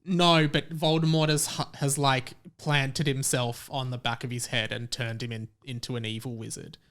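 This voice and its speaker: A man's voice: mid-range voice, level tone, normal speed, fairly bright tone. A man hosting a podcast in a casual, comedic chat, often breaking into laughter. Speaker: podcast host.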